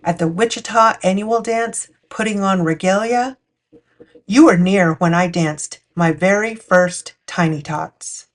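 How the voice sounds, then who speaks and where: Woman doing a kids storytime read-aloud, medium pitched voice. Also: a little slow. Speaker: children's picture book read-aloud host.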